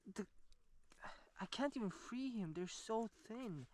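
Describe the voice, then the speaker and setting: A man, an angler talking on the spot outdoors by a lake, sighing and speaking softly to the fish he frees. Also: high voice, expressive, slow, slight background noise. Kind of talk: on-the-spot vlog commentary.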